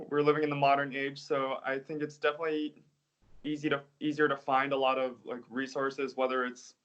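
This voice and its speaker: A man's voice: mid-range voice, a little hiss or hum. Laid-back young man, muffled audio. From United States. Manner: relaxed, hedging with "like" and "I guess". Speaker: interview guest, English teacher. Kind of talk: casual interview answers.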